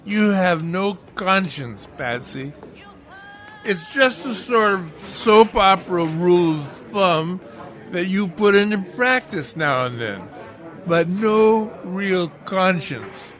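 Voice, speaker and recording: Slow, wry, boozy old-radio sidekick played by a man. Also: high voice, lively intonation, a bit bright, faint music behind, full character voice. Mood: mock scolding. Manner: wry, rambling, theatrical. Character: boozy, verbose, philosophizing drunken sidekick, a grown man. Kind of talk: old-time radio detective drama acting.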